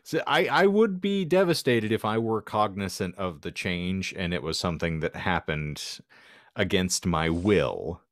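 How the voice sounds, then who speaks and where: A man's voice: low voice, expressive, measured pace, no room sound, studio quality. A man co-hosting a podcast, talking casually in a wry, wordy way with an ornate vocabulary. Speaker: podcast co-host.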